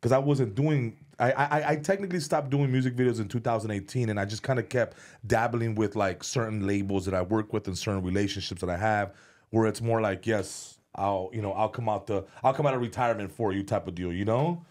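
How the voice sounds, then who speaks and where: A man's voice: lowish voice, a little fast, darkish tone. A man talking as a podcast guest in casual chat, rambling with many restarts and repeated words, and swearing freely. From United States. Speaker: comedian and music video director, podcast guest.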